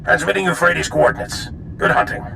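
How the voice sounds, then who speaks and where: Casting: grave sci-fi game general, very gravelly, terse and authoritative, over clear rumbling noise. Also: male voice, fairly even intonation, fairly quick. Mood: urgent, commanding. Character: grave, commanding male military general. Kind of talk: video game cutscene acting.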